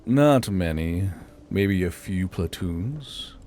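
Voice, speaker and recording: A man voicing a gruff, cynical soldier in a story, blunt and sardonic, with faint noise behind. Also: deep voice, lively intonation, slow, warm tone, voice pushed down. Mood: grim, resigned.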